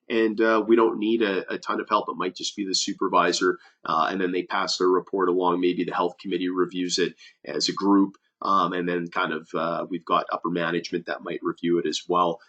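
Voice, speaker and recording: A man's voice: low voice, fairly bright tone. A man who is a safety advisor, giving a webinar presentation with many fillers and restarts, sounding nasal from a cold.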